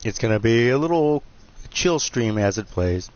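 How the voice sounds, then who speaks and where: A man's voice: mid-range voice, lively intonation, normal speed. A man hosting a live game stream, chatting casually in a nasal, joking, self-deprecating way. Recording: dull lo-fi stream mic with faint hiss.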